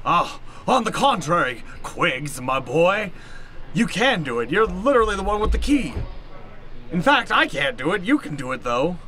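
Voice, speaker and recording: A man's voice: sits high, sing-song, moderate pace, bright, forceful tone, heavily acted. A man playing a blustering, eccentric older professor in an improvised radio comedy, theatrical, pompous and scolding. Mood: scolding, insistent. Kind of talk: improvised old-time radio comedy acting.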